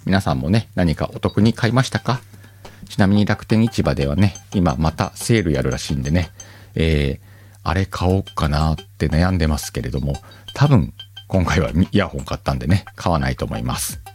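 A man with a Kansai accent hosting a podcast, chatting casually and directly to his listeners in a relaxed, self-deprecating way. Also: rumbling low, moderate pace. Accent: Kansai Japanese. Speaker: podcast host. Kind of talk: casual solo chat. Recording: faint background music.

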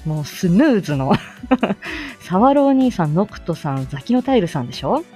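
A woman's voice: lowish voice, sing-song, normal speed, a little mellow, soft background music. A woman hosting a casual morning livestream, chatting in a giggly, exclaiming way. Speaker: live audio show host.

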